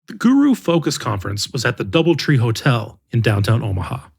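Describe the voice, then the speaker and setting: Husky man, lively narration. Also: mid-range voice, brisk. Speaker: podcast narrator reading an article. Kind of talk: scripted narration.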